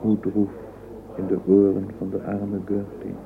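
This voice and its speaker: A man's voice: fairly low pitch, completely flat delivery, unhurried, gravelly, a crowd in the background. A man narrating a literary radio play. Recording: muffled phone-like old radio recording, hissy.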